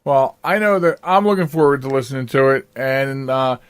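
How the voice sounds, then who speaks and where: A man's voice: medium pitch, moderate pace, clear, resonant tone, dry recording. A man hosting a podcast, chatting casually with a guest in a chatty, rambling way. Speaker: podcast host. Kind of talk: casual interview chat.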